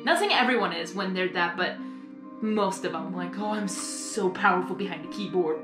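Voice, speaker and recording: Young woman rambling in an echoey room. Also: on the low side. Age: young adult. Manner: earnest, halting, apologetic. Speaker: reaction video host. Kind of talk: casual, rambling live reaction commentary. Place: small bedroom, indoors. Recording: echoey room, music behind.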